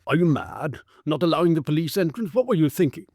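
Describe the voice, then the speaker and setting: A gruff police constable barks orders at a locked door in a storybook reading, played by the narrator. His tone is mellow and low in brightness. The recording is dry and close. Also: lively intonation, fast, no room sound. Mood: stern. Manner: commanding. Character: stern gruff male police constable, later kindly.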